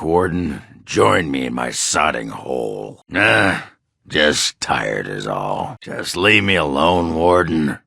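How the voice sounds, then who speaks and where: A hard-drinking dwarf warrior from a fantasy video game. The voice is rock-bottom deep and full of gravel, with a forceful edge. He talks bluntly and crudely, grunting and cursing like a seasoned berserker. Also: dry recording, clean studio sound, exaggerated voice. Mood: grumpy, sullen. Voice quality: gravelly, raspy. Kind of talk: video game character acting.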